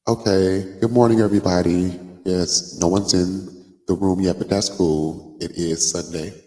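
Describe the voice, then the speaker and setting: A man's voice: low-pitched, steady tone, mellow. A man, congested from a cold, hosting a casual live stream and chatting with lots of "like" and "um". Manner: chatty, drawn-out fillers like "like" and "um". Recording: long room reverb.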